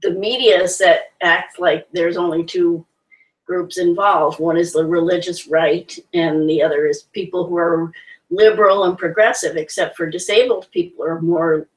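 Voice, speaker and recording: A woman's voice: lowish voice, fairly even intonation, normal speed, far from the mic, low-quality recording. A woman hosting a discussion, often agreeing with her co-host and then explaining at length. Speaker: host, director of the project. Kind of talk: casual panel discussion.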